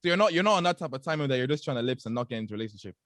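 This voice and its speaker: Fast, animated young man teasing. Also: mid-range voice, no echo. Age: 20s. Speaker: podcast co-host. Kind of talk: casual group chat.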